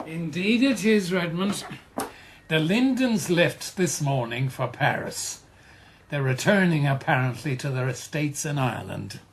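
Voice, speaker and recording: An actor playing a worldly, affectionate older uncle in a radio drama, husky and theatrical. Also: male voice, on the high side, lively intonation, measured pace, slight background noise. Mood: knowing. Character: worldly, excitable, scheming older uncle, fond of his nephew.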